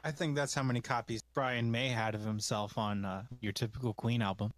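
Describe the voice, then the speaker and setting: Podcast host guy, middle-pitched voice, asks quick follow-ups, dry mic. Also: steady tone, dry recording. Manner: curious, asks short follow-up questions. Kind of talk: casual interview questions.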